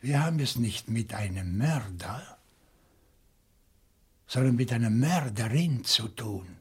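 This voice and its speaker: An actor playing a shrewd, indignant professor turned amateur sleuth in a German radio crime play, reasoning theatrically step by step. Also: male voice, mid-range voice, lively intonation, a little slow, darkish tone, husky. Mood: explaining, insistent.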